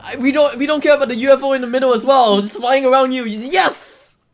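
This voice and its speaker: A man's voice: very high-pitched, steady tone, a little fast. A man giving casual, slightly hesitant live commentary as he plays through a video game speedrun. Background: video game music.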